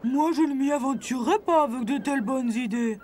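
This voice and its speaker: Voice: sits high, brisk, darkish tone, slight background noise, lightly acted. A performer voicing a grumpy, sceptical animal sidekick in a cartoon, with a sardonic, doubting manner. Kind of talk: cartoon dub in French. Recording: prominent music behind the voice.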